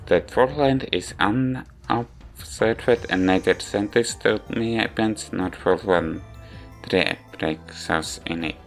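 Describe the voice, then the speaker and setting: A man giving a rambling, halting spoken review of a visual novel in non-native English. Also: fairly low pitch. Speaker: video game reviewer. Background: background music.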